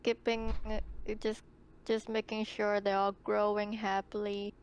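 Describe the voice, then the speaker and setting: A woman takes part in a tabletop roleplaying game with casual, hesitant talk. She speaks slowly in a smooth voice of middle pitch, with little melody. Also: little pitch movement.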